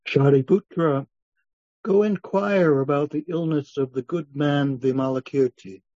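Man reading as a mellow, serene Buddha. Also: fairly high pitch, measured pace, no room sound. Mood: calm command. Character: calm, authoritative Lord Buddha, wise male teacher. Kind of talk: dramatic reading of scripture. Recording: dull, lo-fi sound.

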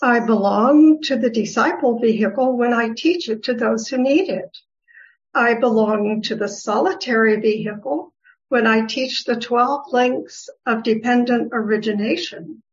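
A woman reading the part of a serene, wise goddess who gently teases, in a formal scripture reading with deliberate delivery. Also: on the high side, close to an everyday voice. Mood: serene, instructive. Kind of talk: dramatic reading of Buddhist scripture. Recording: dull, lo-fi recording.

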